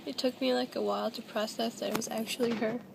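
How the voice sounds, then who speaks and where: A woman's voice: on the high side, little pitch movement, quick pace, gravelly, very noisy. A young adult woman talking casually and hesitantly in a personal confessional vlog, recorded at home on a built-in device mic.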